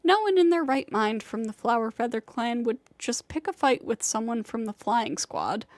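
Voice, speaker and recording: A woman's voice: fairly high pitch, lively intonation, moderate pace, no echo, close to an everyday voice. A woman voicing a burnt-out, self-doubting young female flying rider in a video game, weary and bitter as she vents. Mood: explaining, matter-of-fact. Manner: weary, venting, self-deprecating. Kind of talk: scripted video game voice acting. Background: game music.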